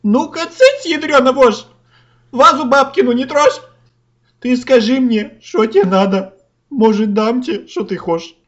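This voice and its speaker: A man's voice: very high voice, measured pace, fairly bright tone, highs cut off, voice pushed up, heavily acted. A man voicing an exasperated, blustering tsar who scolds in crude folksy rhyme, in a comic fairy tale. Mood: exasperated scolding. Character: exasperated, blustering male tsar, father of a teenage girl.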